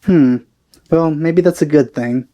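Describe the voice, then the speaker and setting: A man's voice: a little high, a little slow, muffled recording. A man giving casual commentary on a game he is playing, musing and thinking aloud. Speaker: let's play gamer. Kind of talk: casual blind playthrough commentary.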